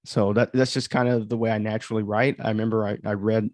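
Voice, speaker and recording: A man's voice: fairly low pitch, little pitch movement, quick pace, darkish tone, dry recording, clean studio sound. A man giving casual, rambling livestream commentary as an author and host, full of conversational asides. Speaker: author hosting a livestream of their audio drama.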